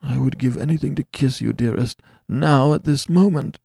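A man's voice: fairly high pitch, animated, takes it slowly, vocal fry, a little mellow, no echo, studio quality, pitched above the performer's own voice, exaggerated voice. A man voicing a disfigured, anguished young husband who speaks in a mournful, pleading way.

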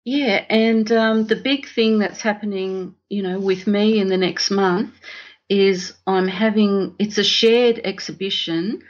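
An Australian woman talks slowly as a podcast guest. She is candid and reflective, pausing often in a casual style. The call audio is slightly dull and lo-fi. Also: mid-range voice, fairly even intonation. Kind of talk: casual personal interview.

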